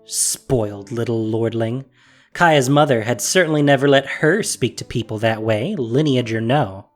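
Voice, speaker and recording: A narrator reading a fantasy story in a measured, wry manner. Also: medium pitch, faint music behind.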